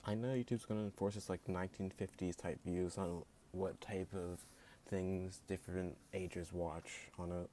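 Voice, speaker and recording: A man's voice: deep voice, fairly even intonation, normal speed, warm tone, a little hiss or hum. A man giving an opinion rant as a video creator, sarcastic and blunt, with a voice that sounds jittery at times. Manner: sarcastic and blunt, heavy use of "like". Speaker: YouTube creator.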